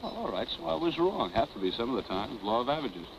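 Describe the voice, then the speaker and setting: An American actor playing a flippant, carefree husband with dry, glib delivery in a 1940s film. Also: male voice, mid-range voice, sing-song, fast talker, gravelly, heavy background noise. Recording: dull 1940s film soundtrack.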